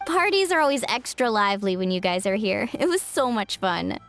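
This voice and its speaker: A woman playing an upbeat, caring young woman in a video game, expressive and friendly. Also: mid-range voice, fairly quick. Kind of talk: English video game voice acting. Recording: faint music behind the voice.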